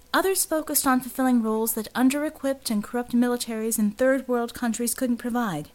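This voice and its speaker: A woman reading scripted fiction as an audiobook narrator. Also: fairly high pitch.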